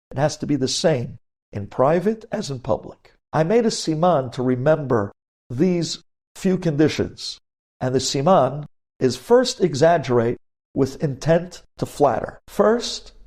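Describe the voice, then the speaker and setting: A man's voice: on the high side, a little mellow. A man speaking as a rabbi giving a lesson on religious law, emphatic, repeating key phrases and posing rhetorical questions.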